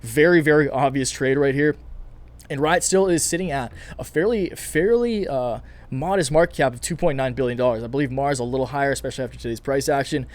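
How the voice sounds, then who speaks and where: A man giving casual, energetic stock and crypto commentary as a channel host, his voice a little raspy and his delivery quick and chatty. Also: mid-range voice. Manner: fast, energetic, chatty, many fillers and restarts. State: slightly raspy from recording all day. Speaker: finance YouTuber. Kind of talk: casual market commentary to camera.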